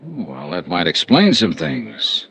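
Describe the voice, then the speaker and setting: An actor playing a wry, hard-boiled insurance investigator who narrates and questions in a clipped, matter-of-fact way, in 1950s radio drama style. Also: male voice, fairly low pitch, very animated, takes it slowly, gravelly, fairly bright tone, husky, heavily acted. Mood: thoughtful.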